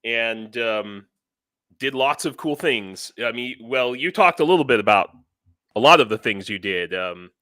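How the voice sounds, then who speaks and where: Bright-voiced man, hesitant livestream host. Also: mid-range voice, expressive, unhurried, dry recording. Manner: hesitant, rambling, repeats phrases. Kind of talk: casual livestream chat.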